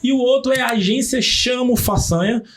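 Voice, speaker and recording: A man's voice: very high voice, normal speed, soft background music. A man hosting a casual podcast interview, upbeat and jokey, with chatty slang. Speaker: podcast host. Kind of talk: casual interview, reading sponsor plugs.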